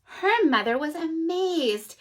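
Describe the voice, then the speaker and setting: A mother of four reading a children's picture book aloud from a child's bedroom at home, in a friendly, expressive way. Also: very high-pitched, takes it slowly, noisy background. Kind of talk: children's story read-aloud.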